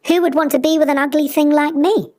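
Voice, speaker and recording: A woman's voice: sits high, fairly even intonation, fast, mellow, voice pushed up, lightly acted. A woman voicing a timid, lonely young swan in a children's story, nervous and self-deprecating. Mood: nervous, sad.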